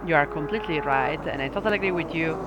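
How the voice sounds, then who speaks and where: A man with an Italian accent who speaks English as a second language, hosting a sim racing stream and chatting hesitantly with viewers, with many pauses and restarts. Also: a little high. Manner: hesitant, lots of ums and restarts, self-deprecating. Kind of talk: casual livestream chat, answering viewer comments. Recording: loud racing-game engine noise behind voice. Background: sim race car engines.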